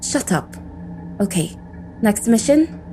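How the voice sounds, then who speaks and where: A mellow, soft-edged voice of a cynical female operative in an audio drama. She is sarcastic and easily irritated as she talks through her report. There is faint noise behind her. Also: medium pitch, sing-song, slow. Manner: dry, cynical, profane.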